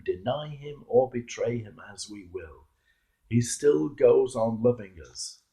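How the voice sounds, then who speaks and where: A man reading a devotional sermon as a clergyman, measured and earnest, with emphatic pauses. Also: medium pitch, mellow, low-quality recording. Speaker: Anglican clergyman, preacher. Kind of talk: devotional sermon reflection, read aloud.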